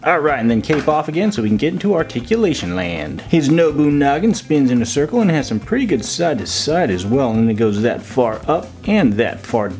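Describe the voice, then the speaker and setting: A man's voice: medium pitch, very animated, brisk, few pauses, a little mellow, soft background music. A man giving a casual, jokey action figure review as host, with a playful manner and silly made-up words. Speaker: toy reviewer and video host.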